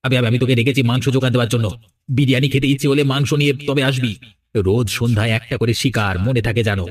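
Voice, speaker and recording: A man's voice: mid-range voice, steady tone, fast talker, lightly acted. A man voicing a menacing, vengeful undead cannibal sorcerer in a Bengali horror cartoon, with a theatrical threatening manner. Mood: gloating, menacing. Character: menacing flesh-eating undead sorcerer ghost, vengeful father.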